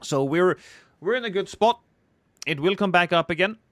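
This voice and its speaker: A man from Norway with a Norwegian accent, streaming a game live and joking with his chat while reacting loudly to the action. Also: high-pitched, animated, normal speed. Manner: playful, joking banter with chat.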